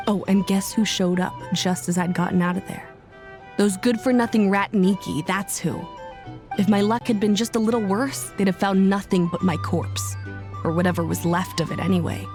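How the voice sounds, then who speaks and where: A woman playing a brash young woman who tells things in a brisk, scornful way, with faint game music behind. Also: medium pitch, darkish tone, natural voice.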